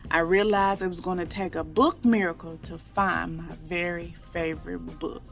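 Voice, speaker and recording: A woman reading a picture book aloud to children in an expressive storytelling style, drawing out key words. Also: lowish voice, moderate pace, gravelly, faint music behind.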